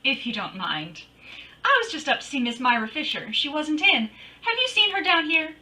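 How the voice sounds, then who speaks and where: A woman's voice: high voice, big pitch swings, normal speed, bright, forceful tone, exaggerated voice. A woman playing a bold, wisecracking private detective in an old-time radio drama, with a breezy, teasing, wry delivery. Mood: breezy, sly. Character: bold, wisecracking female private detective narrating her case.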